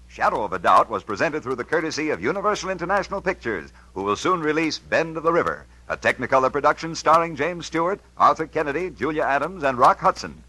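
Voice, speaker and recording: A man's voice: a little high, sharp tone, low-quality recording. An American man announcing on 1950s radio in a polished, emphatic, scripted promotional style.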